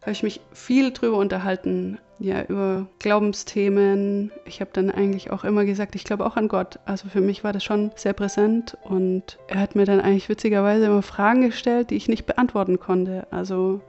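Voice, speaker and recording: A woman in her thirties speaks in a mellow, conversational tone and pauses now and then. The sound is somewhat dull, with music playing faintly. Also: medium pitch, little pitch movement. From Stuttgart, Germany. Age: 30s. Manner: conversational, hesitant with fillers. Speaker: interviewee. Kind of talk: personal testimony.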